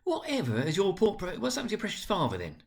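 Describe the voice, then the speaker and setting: A man's voice: high-pitched, huge pitch swings, quick pace, gravelly, voice pushed up, full character voice. A man voicing a fussing, affectionate working-class mother in a storybook, with a bustling way of talking. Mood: fretting.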